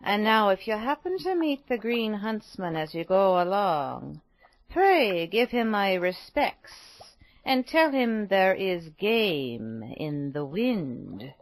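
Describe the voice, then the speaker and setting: A woman voicing a poor, bent old woman in a storybook, mumbling slowly and humbly. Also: medium pitch, big pitch swings, no room sound. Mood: grateful.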